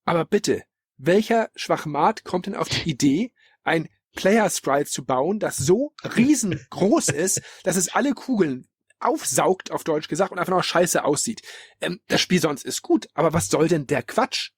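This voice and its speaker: Husky, higher-pitched man. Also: animated, unhurried, no room sound, studio quality. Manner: opinionated, emphatic, colloquial. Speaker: podcast host presenting a ranking. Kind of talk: casual retro-gaming podcast talk.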